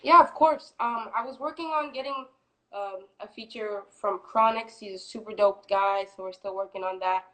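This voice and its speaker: Young female singer guest, twenties, easygoing and talkative, medium pitch. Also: normal speed, rough recording. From Florida, US. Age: 20s. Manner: relaxed, chatty, many fillers. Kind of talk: casual interview.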